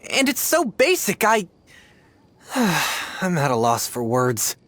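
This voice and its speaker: Kinda low voiced young game adventurer, earnest and halting, voice jumps around, music behind. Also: female voice, huge pitch swings, a bit bright, a little hiss or hum, heavily acted. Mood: dismayed. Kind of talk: video game character acting.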